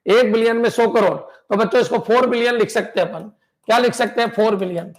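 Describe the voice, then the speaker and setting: A man teaching a computer science exam class, repeating points and quizzing his students with "clear?" as he drills them. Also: high voice, fast. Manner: emphatic, pushes exam tips and motivation.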